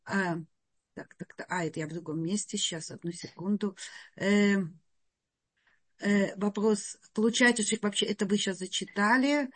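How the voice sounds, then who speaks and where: A woman in an online class asks her question, pausing and searching for her place before reading it out. Her voice is of ordinary pitch and unhurried. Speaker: student asking a question.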